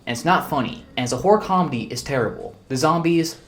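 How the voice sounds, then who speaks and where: A man's voice: fairly high pitch, expressive, fast talker. A young man reviewing movies in a casual, blunt rant full of fillers, sounding exasperated. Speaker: video reviewer.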